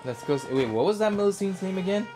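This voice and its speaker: A man's voice: sits high, lively intonation, fast. A man giving casual, slangy live commentary as he streams a game, reacting aloud. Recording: game music behind the voice.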